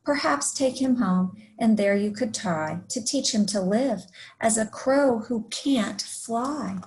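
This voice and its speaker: Storybook veterinarian, read by a woman: male doctor, matter-of-fact, gentle advice. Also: on the high side, lively intonation, slow pace, slight background noise. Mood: regretful.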